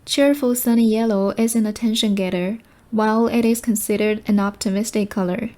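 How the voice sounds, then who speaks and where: A woman reading short study texts aloud in a measured, formal manner. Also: mid-range voice, fairly even intonation, warm tone. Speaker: reader of practice passages.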